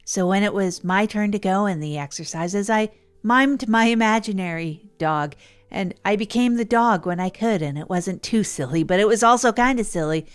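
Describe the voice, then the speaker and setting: A woman's voice: mid-range voice, moderate pace, clean tone, faint music behind, lightly acted. A woman playing an earnest, self-effacing radio host who tells her misadventures in a chatty, hesitant, improvised way. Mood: pleased, reflective. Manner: hesitant, rambling, chatty, chuckles at herself. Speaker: narrator and radio show host. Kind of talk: improvised first-person audio drama monologue.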